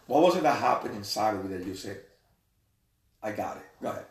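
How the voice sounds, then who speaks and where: A man's voice: on the low side, lively intonation, fairly quick, recorded from a distance. A man hosting a chat show, talking with lots of energy and encouragement and often asking "right?". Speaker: podcast host. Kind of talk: enthusiastic interview chat. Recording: roomy sound, voice off-mic.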